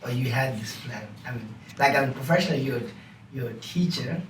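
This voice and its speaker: A man's voice: mid-range voice, moderate pace. A man hosting a podcast, chatting casually with loose phrasing. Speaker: podcast host. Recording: roomy, distant mic with clear background noise.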